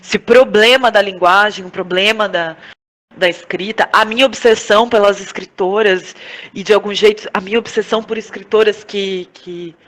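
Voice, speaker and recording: A woman's voice: mid-range voice, steady tone, normal speed, fairly bright tone, low-quality recording. A Brazilian woman speaking as a guest in a reflective conversational interview, feeling for her words and repeating them for emphasis. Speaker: interview guest, playwright and director.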